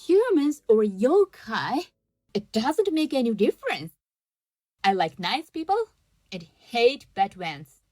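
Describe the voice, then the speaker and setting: A woman voicing a kind, easygoing young yokai woman in a radio drama, polite and gently chiding. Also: a little high, sing-song, slow pace, no echo, faint music behind, exaggerated voice.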